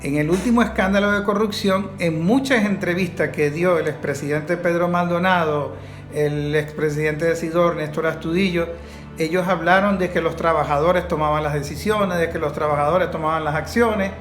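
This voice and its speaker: High-pitched Venezuelan man, echoey room. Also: normal speed. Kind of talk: measured summing-up in a discussion. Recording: echoey room, faint music.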